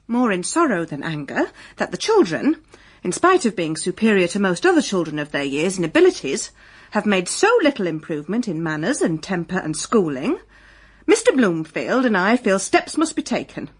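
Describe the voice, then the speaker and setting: Husky, animated, disapproving English mother. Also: mid-range voice, moderate pace. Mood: cool, reproachful. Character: prim, disapproving English mother and employer, self-satisfied. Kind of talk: period audio drama acting.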